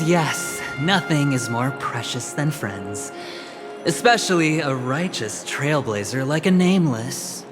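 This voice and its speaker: An actor playing a smug, flamboyant young businessman in a video game, with a suave, teasing, theatrical delivery. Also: medium pitch. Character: smug, flamboyant young male corporate gambler. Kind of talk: scripted video game dialogue. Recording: music behind the voice.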